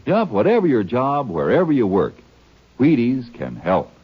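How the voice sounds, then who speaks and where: A man reading an old-time radio commercial as an emphatic, folksy announcer with a husky voice. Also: medium pitch, wildly swooping pitch, moderate pace. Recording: muffled old radio recording.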